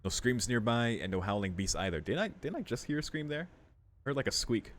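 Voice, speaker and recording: A man's voice: medium pitch, animated, brisk, slight background noise. A young man giving casual playthrough commentary, thinking aloud informally and reading game text out as he goes. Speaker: video game streamer.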